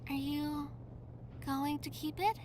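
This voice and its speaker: A young woman from a visual novel , put on by a man, high-pitched and gently concerned. She speaks tentatively, like a worried friend, with noise behind her. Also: steady tone, slow. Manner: tentative, questioning.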